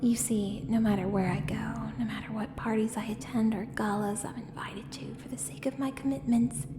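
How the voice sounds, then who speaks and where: A woman playing a menacing, coolly seductive contract killer in an ASMR audio roleplay, speaking in a hushed, deliberate, breathy voice with pointed pauses. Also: on the high side. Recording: roomy long reverb, clear background noise.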